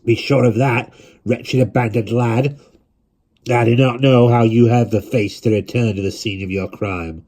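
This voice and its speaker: A man's voice: mid-range voice, steady tone, unhurried, a little mellow, pitched above the performer's own voice. A man voicing a stern, proud old museum keeper who speaks in a cold, clipped, formal way. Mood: contemptuous. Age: older.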